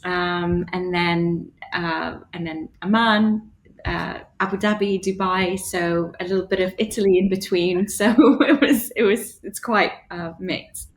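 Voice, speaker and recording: A woman's voice: lowish voice, fairly even intonation, a little slow. A woman in her thirties, an interior designer, answering interview questions in a thoughtful, hesitant way with many fillers and restarts. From Bahrain. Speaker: interior designer and studio founder, guest. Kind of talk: casual interview conversation.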